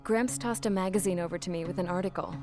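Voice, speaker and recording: A woman's voice: fairly low pitch, quick pace, over music, natural voice. A woman playing a plain-spoken, gutsy young pilot and mechanic, narrating her own story in a scripted game. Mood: wistful, matter-of-fact. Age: young adult.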